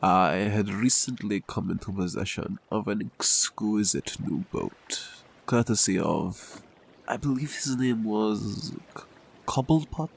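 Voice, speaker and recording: An actor in an audio drama playing a pretentious, theatrical crime boss who tells tall tales in grandiose, formal language. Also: male voice, lowish voice, slow pace, vocal fry, breathy edge, exaggerated voice. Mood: pompous storytelling. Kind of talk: scripted audio drama acting.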